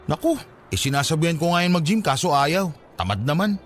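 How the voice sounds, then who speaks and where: A man's voice: a little high, sing-song, fairly quick, a little hiss or hum. A man playing a defensive, ingratiating husband who scolds his wife in a Filipino radio drama, with a Filipino accent. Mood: mocking.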